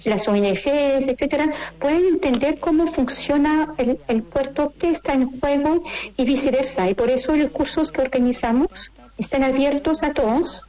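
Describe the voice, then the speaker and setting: High-pitched, mellow woman with a French accent. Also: lo-fi sound. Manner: halting, searching for words with repetitions. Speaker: interview guest, course director. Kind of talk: conversational interview, explanatory.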